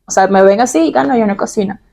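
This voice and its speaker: A woman with a Caracas Venezuelan accent talks casually, as if confiding in her followers. Her voice is mellow and relaxed, with plenty of vocal fry at a medium pitch. She is expressive and giggly, drawing out words for emphasis. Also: very fast. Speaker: vlogger answering personal questions. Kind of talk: casual, chatty personal vlog.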